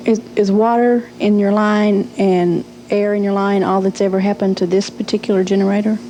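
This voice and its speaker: A woman's voice: medium pitch, level tone, moderate pace, warm tone, soft background music, poor audio. A woman interviewing for a training film, giving instructional questions and reminders.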